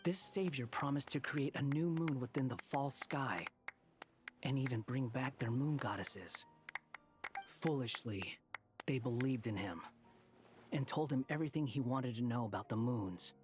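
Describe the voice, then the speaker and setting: A man's voice: mid-range voice, a little slow, natural voice. Aloof young man played by a male actor for a game, medium register, cynical explainer, faint music. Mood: grave, disdainful. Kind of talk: video game voice acting.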